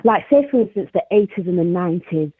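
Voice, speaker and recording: A woman's voice: on the low side, animated, fast talker. A woman with a South Yorkshire accent calling into a live talk show, chatting casually and laughing often. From South Yorkshire, England. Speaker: caller. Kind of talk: casual chat. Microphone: phone call. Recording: tinny phone line.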